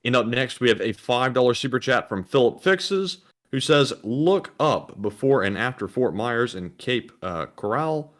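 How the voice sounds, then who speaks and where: A man co-hosting a live stream, reading out viewer messages in a calm, fairly monotone voice. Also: mid-range voice, a little slow, hardly any pauses. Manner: calmer, more monotone voice. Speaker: co-host reading donations.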